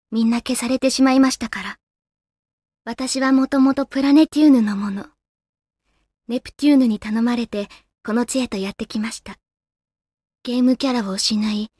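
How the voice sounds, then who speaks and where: A woman playing a gentle, solemn female guardian spirit in a Japanese video game, speaking politely and formally with composure. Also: a little high, no echo, close to an everyday voice.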